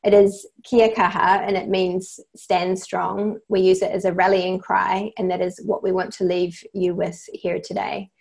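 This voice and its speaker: A woman with a New Zealand accent moderates an online panel, her voice carrying a noticeable gravelly fry. She keeps her delivery even and level, calmly thanking speakers and reading out questions. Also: mid-range voice, unhurried, few pauses, poor audio. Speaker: webinar host. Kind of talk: moderating a webinar.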